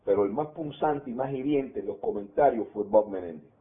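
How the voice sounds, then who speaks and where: An older man with a Cuban Spanish accent, recalling his school days in an oral history interview, animated and trailing off into quieter asides. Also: on the low side, moderate pace. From Cuba. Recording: tinny phone-like audio.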